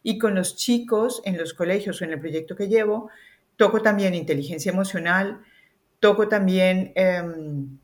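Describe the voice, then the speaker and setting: A woman with a Colombian accent, a communication coach, talking through her work in a relaxed podcast interview with the odd hesitant filler. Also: on the low side, normal speed. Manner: articulate, explanatory, with hesitant fillers.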